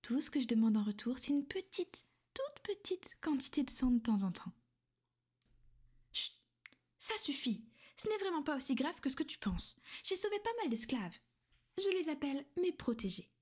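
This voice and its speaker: A woman playing a gentle but possessive vampire noblewoman, speaking softly and often in a whisper for an ASMR roleplay. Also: sits high, big pitch swings, normal speed. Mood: calm, matter-of-fact. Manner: soft, soothing, often whispered.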